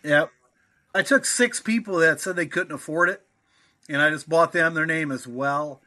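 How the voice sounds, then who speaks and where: A man's voice: on the high side, normal speed, pushed and bright. A middle-aged man, a fan guesting on a podcast, giving plain-spoken, brief answers in casual chat.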